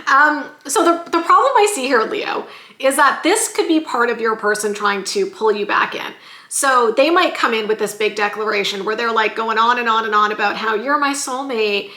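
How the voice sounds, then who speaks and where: Brief: sassy, chatty woman tarot reader with a bright voice and slight hoarseness, recorded in an echoey room. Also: on the high side, normal speed. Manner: chatty and sassy, addresses viewers as "you guys". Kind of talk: casual tarot reading vlog.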